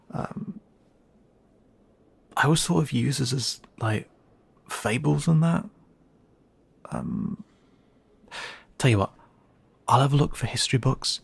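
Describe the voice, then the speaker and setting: A man's voice: mid-range voice, gravelly, breathy edge, no echo, full character voice. A man playing a wary young male cat-person guide in a fantasy audio drama, speaking casually and hesitantly straight to the listener. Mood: unsure, searching. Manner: hesitant, casual, conversational asides.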